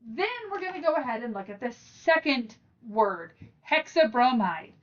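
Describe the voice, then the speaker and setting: A woman with a fairly high voice walks learners through a lesson one step at a time. Her delivery is informal and encouraging, addressed straight to the listener. The recording sounds a little dull, as if she sits some way from the mic. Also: very animated, normal speed, recorded from a distance. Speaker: teacher and channel host.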